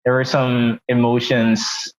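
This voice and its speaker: A man from the Philippines co-hosting a podcast in casual chat, halting and searching for words. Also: medium pitch, completely flat delivery, slight background noise. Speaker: podcast co-host. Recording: slightly dull, lo-fi sound.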